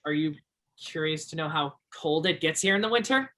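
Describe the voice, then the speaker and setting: An actor playing an earnest, curious twenty-two-year-old backwoods local in a stage play, gentle and simple in manner. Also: medium pitch, brisk, lightly acted. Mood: friendly, awkward.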